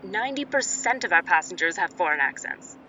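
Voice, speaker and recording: A woman plays a helpful, curious train conductor in an audio drama. Her voice is sharp and bright, caught on a slightly muffled recording with faint noise. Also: fairly low pitch, expressive, fairly quick, recorded from a distance. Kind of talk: amateur audio play acting. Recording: dull, faintly noisy home-device recording.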